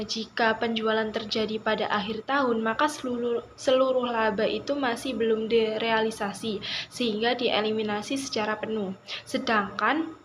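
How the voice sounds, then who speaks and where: A young woman giving a formal class presentation, halting and repeating half-finished words. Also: a little high, noisy background. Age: young adult. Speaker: university student presenter.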